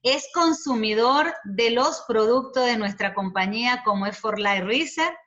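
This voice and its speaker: A woman hosting a video call, welcoming and introducing a guest in a measured presenter's way. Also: on the high side, expressive, a bit bright. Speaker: host. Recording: muffled video call audio.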